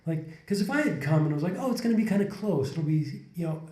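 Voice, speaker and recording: A man in his forties talks through his thoughts on a podcast, circling back and restarting sentences as he goes. His voice is mellow and a little dark, and the indoor room adds a noticeable roomy echo around the desk mic. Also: fairly high pitch, lively intonation, fast. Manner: reflective, rambling with many restarts. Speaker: podcast co-host. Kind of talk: casual conversation. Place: indoor room, sitting on a couch. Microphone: desk condenser mic in front of couple.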